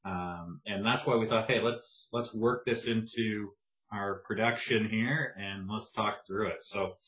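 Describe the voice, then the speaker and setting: A man's voice: on the low side, fairly even intonation, a little slow, fairly bright tone. A man co-hosting a podcast in casual chat, laid-back with dry teasing. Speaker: podcast co-host. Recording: dull lo-fi sound.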